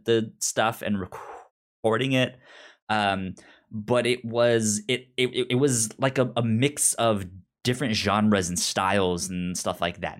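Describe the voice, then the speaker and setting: Stuttering man in halting casual chat. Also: on the low side. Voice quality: frequent stutter, repeating sounds and words. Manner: halting, rambling delivery. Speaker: podcast co-host.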